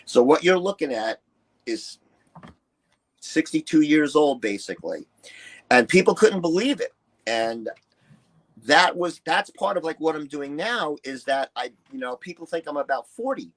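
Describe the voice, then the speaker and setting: A man's voice: a little high, very animated, measured pace, rough recording. A man, telling personal stories candidly as an interview guest, blunt and emphatic, swearing freely and acting out other people's remarks. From New York City, United States. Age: early 60s. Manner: blunt, profane, emphatic, acts out quoted dialogue. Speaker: interview guest, retired musician and bodybuilder.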